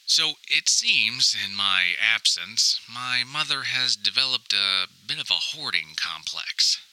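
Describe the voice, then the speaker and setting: A man's voice: medium pitch, lively intonation, slow, pushed and bright, clean tone, exaggerated voice, poor audio. A man playing an anxious, wry grown son recording a wordy, mock-dramatic audio diary. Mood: uneasy, insistent. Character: anxious, wry grown son lost in hoarder mother's house. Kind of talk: scripted comic audio diary monologue.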